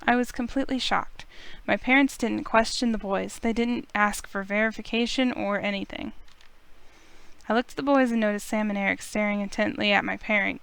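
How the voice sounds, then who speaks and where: A woman's voice: medium pitch, fairly even intonation, brisk, vocal fry, a bit bright, clean tone, no echo. A woman playing an earnest, anxious young heiress, narrating her own story in a visual novel. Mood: reflective, tense. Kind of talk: scripted first-person visual novel narration.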